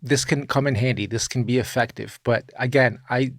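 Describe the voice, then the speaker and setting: A man narrating a software tutorial in an informal, opinionated way, like a music production teacher talking through his steps. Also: mid-range voice, fairly even intonation, moderate pace, dry recording, clean studio sound.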